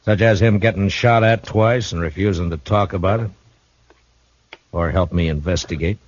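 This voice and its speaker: An actor playing a steady, shrewd frontier marshal in a 1950s radio drama, speaking in a calm, dry, measured way. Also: male voice, sits low. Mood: calm, probing. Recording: dull old radio broadcast recording.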